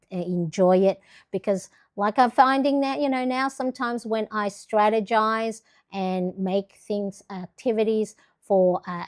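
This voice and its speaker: A middle-aged woman from the Philippines chatting casually on a livestream, rambling with lots of fillers and restarts. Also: medium pitch. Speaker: planner livestream host.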